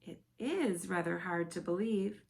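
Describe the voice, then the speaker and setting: A woman's voice: lowish voice, lively intonation, measured pace, gravelly, a little mellow. Woman doing a curious polite little girl. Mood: pleading. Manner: polite, wondering.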